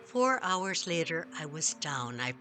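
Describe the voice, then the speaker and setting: A woman reading a first-person story as scripted narration, measured, with dramatic pauses. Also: sits low, big pitch swings, pushed and bright. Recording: music behind the voice. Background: music.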